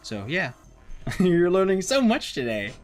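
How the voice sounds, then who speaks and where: A man's voice: high voice, wildly swooping pitch, unhurried. A young man from the Netherlands with a Dutch accent, streaming a game and chatting casually, rambling with many fillers as he thinks aloud. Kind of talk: casual let's-play chat, reading chat and game text aloud.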